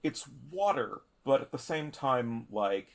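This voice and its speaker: A man narrating a tabletop game as a player, improvising in halting, deliberate phrases. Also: medium pitch, animated.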